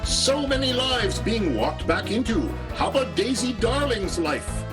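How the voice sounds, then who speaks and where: A man's voice: very high voice, very animated, unhurried, over loud music. A man narrating an improvised radio serial in a theatrical, wry announcer's manner.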